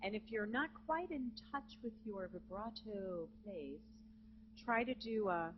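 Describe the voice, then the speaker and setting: Woman teaching a singing class live, low voice, upbeat and encouraging, dull recording w/ faint hum. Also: unhurried. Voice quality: naturally low speaking voice. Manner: lively, encouraging, demonstrates with sung examples. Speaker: vocal jazz clinician. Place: conference hall with student audience.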